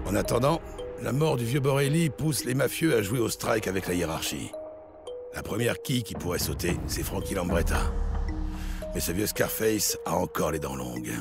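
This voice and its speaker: An actor playing a jaded, hungover New York police lieutenant, narrating a noir audio drama in a wry manner. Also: male voice, low-pitched, creaky, full character voice. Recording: loud music bed behind voice.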